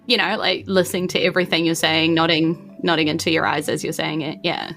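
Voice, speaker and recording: A woman's voice: low-pitched, flat delivery, brisk, a bit bright, soft background music. A woman improvising narration as game master in a casual, chatty way, often hedging as she goes. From New Zealand. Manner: casual, hedging with frequent "like" and "you know".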